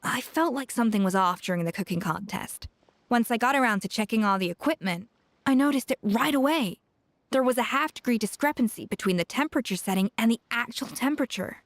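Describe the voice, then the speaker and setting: An actress voicing a confident, seasoned female chef who mentors a younger cook, speaking in a brisk, scolding, self-assured way in a game cutscene. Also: on the high side, animated, no room sound. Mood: stern.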